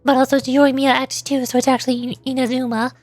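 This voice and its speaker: A woman's voice: high voice, level tone, quick pace, darkish tone, dry recording. A woman streamer voicing game dialogue live and adding casual commentary. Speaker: streamer and amateur voice actor. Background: faint game music.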